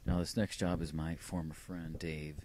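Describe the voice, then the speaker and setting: Very deep-voiced man, rambling casually. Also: little pitch movement, moderate pace, a little mellow. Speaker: vlogger playing old answering machine tapes. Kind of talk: casual rambling personal narration.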